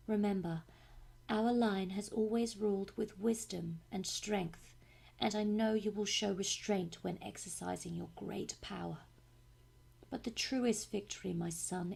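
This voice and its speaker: A woman voicing a grave, proud royal parent in a fantasy game, speaking solemnly and softly to a son. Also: medium pitch, creaky, slightly rough, heavily acted. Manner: slow, solemn, hushed.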